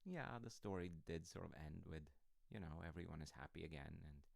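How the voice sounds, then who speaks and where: A man playing a gentle, affectionate dad in an intimate comfort roleplay, musing and reassuring in a halting, tender way. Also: sits low, expressive, vocal fry, no echo, clean studio sound, exaggerated voice. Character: gentle, affectionate storytelling dad comforting his grown child.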